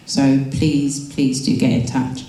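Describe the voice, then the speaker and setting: A woman's voice: very deep voice, little pitch movement, takes it slowly, mellow. A woman who manages a council education team, giving a reassuring community talk full of ums. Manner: reassuring, repeats herself, many ums. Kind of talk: community presentation. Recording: echoey hall.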